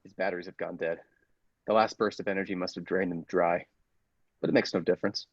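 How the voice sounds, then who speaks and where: A man playing a megalomaniac robot who passes for human, a coldly menacing villain speaking formally and deliberately in a classic radio play. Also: sits low, little pitch movement, no echo, lo-fi sound, pitched below the performer's own voice. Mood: cold, calculating.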